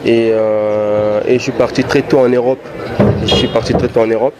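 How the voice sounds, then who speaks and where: Halting Congolese-born man in street noise. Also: mid-range voice, level tone. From Democratic Republic of the Congo. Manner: halting, repeats words often. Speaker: guest rapper. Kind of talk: casual interview.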